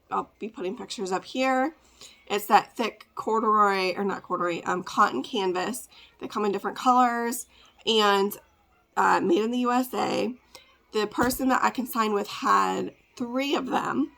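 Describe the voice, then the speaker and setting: A woman with a congested, raspy voice, chatting casually as she packs orders to ship at home, rambling with lots of fillers. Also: medium pitch, fairly bright tone. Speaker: part-time online reseller. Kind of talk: casual ship-with-me vlog chat.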